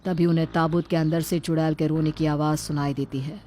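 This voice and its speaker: A woman's voice: low voice, flat delivery, brisk, dark tone, faint music behind. A woman reading scripted narration for a cartoon horror tale.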